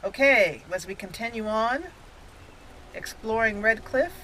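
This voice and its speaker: A woman's voice: on the high side, a little slow, pushed and bright, a little hiss or hum, low-quality recording. A woman hosting a roleplay playthrough, giving casual, chatty commentary and thinking aloud.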